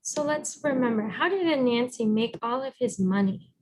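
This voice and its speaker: A woman reading a storybook aloud as a teacher, encouraging and pausing to guide with questions. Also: on the high side, expressive, darkish tone, poor audio. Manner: encouraging, instructive.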